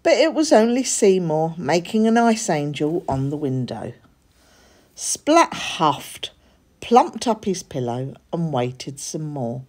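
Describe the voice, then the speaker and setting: Female storybook reader for young children, unhurried pace, lively intonation. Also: deep voice. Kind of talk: expressive children's story reading.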